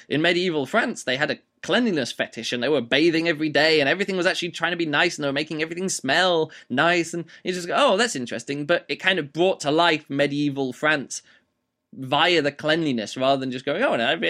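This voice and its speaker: A man with a slightly rough voice giving a casual, rambling spoken book review, with many fillers and restarts. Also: a little high, a bit bright.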